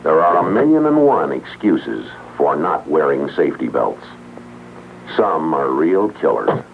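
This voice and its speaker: A man reading a scripted vintage safety announcement in a grave, measured manner. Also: a bass voice, very animated, gravelly. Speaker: public service announcement narrator. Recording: muffled lo-fi old broadcast, faint hiss.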